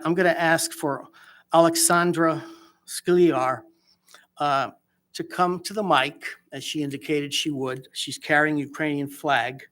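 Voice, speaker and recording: A man chairing a town council meeting, speaking earnestly and haltingly with many fillers. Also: on the high side, fairly bright tone.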